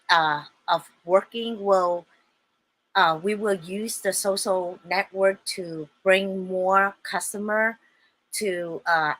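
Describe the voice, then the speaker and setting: A woman explaining a home business in a casual video, halting with many fillers and checking in with "okay?", a bit hoarse with a small cough. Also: fairly low pitch, bright, forceful tone, poor audio. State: slightly hoarse with a little cough. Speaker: online business presenter.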